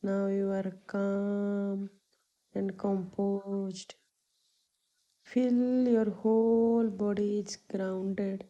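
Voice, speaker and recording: Very slow, mellow woman guiding meditation. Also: medium pitch, lo-fi sound. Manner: calming, soothing, unhurried with long pauses. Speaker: meditation guide, yoga teacher. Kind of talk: guided meditation, spoken instructions.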